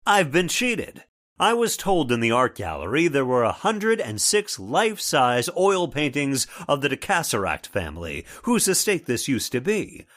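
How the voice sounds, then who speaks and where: Man playing a brash American father, very animated. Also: medium pitch, normal speed. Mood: blunt, indignant. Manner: blunt, bossy, folksy. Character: brash, wealthy middle-aged American father, blunt and impatient. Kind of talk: old-time radio drama acting.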